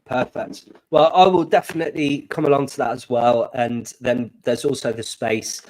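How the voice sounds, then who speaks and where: A man co-hosting a live stream in casual chat, his voice oddly high and squeaky from a glitch, with a blocked nose, making cheerful, rambling announcements. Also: steady tone, normal speed, darkish tone, low-quality recording. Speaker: stream co-host. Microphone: desk microphone.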